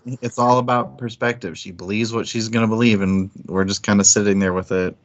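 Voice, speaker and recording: A man's voice: lowish voice, fairly even intonation, a little fast. A man co-hosting a book discussion stream, talking casually and frankly with easy swearing. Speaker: book club stream co-host. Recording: dull lo-fi streaming audio.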